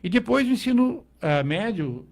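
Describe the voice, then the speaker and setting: A middle-aged Brazilian man, a teacher, recalling his past in a relaxed interview, with frequent restarts and tag questions. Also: extremely high pitch, normal speed, gravelly. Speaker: guest, teacher. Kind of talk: conversational interview.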